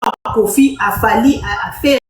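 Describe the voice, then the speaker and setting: A woman hosting a live call-in show, briskly prompting callers to speak. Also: a little high, reverberant. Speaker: host.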